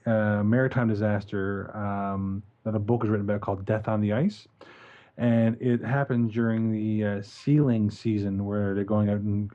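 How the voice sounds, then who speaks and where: Newfoundland-accented male co-host, low voice, easygoing, muffled lo-fi sound. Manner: relaxed storytelling with fillers. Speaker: podcast co-host. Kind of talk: casual podcast chat.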